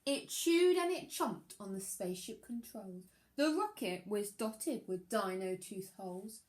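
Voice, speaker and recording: A woman reading a children's picture book aloud like a primary teacher, expressive, with dramatic emphasis on the rhymes. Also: medium pitch, a little slow.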